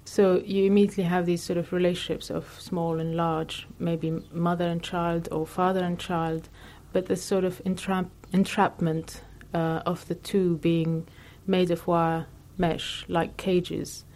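A woman artist from Lebanon with a somewhat low voice answers interview questions reflectively. She thinks aloud, with frequent pauses and fillers between her ideas. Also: little pitch movement, a little mellow.

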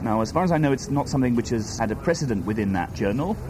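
A man's voice: medium pitch, expressive, fast. Hesitant Welsh-born man in noisy surroundings. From Wales. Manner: hesitant, circling with "in a sense" and restarts. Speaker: artist interviewee. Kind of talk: reflective interview answers.

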